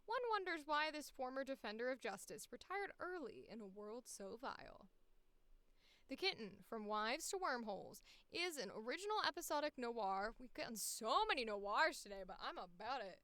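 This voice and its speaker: A woman's voice: fairly high pitch, big pitch swings, moderate pace, pushed and bright, no room sound. A woman voice actor chatting casually on a livestream, reading casting calls aloud and musing to herself as she goes. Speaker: voice actor streaming auditions.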